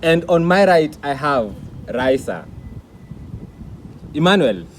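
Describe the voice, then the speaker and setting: Formal male vlog host, slightly high voice. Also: sing-song, slow, slight background noise. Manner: formal, announcer-like delivery. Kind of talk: presenting and interviewing.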